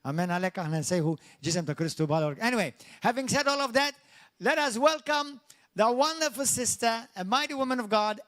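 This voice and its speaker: Maltese man, pastor, bilingual sermon, high-pitched, earnest, restarts mid-phrase. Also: a bit bright. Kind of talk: sermon, translating himself between English and Maltese.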